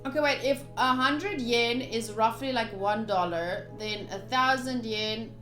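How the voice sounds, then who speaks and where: Young woman, high-pitched voice, game streamer, expressive reading, chatty commentary, soft background music. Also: unhurried. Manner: expressive, chatty asides between read narration. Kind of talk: playthrough commentary, reading game text aloud.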